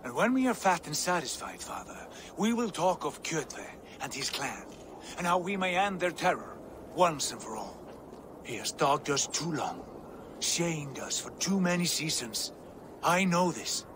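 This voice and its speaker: A booming Viking prince. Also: male voice, very animated, measured pace, background noise. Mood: determined. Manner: hearty, booming, laughs often. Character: boisterous Viking prince returning home. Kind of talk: video game cutscene acting.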